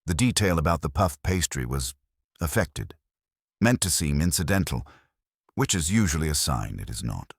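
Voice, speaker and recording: Man acting master detective, bottomless low voice, imperious, formal, terse, audiobook mystery. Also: sing-song, normal speed, slightly rough, dry recording, studio quality, full character voice. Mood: measured, analytical. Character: commanding, cerebral male master detective. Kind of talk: audiobook character voice.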